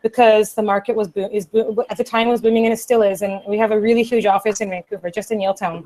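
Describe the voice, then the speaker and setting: A woman English teacher, a native Portuguese speaker, giving lively, encouraging exam advice on a video call. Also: medium pitch, rapid-fire, low-quality recording. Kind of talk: casual webinar advice.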